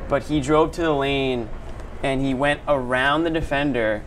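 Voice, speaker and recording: A man's voice: medium pitch, moderate pace, a bit bright, a little hiss or hum, rough recording. An American man co-hosting a sports show, commenting on a game in casual, chatty language. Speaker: sports show co-host and analyst.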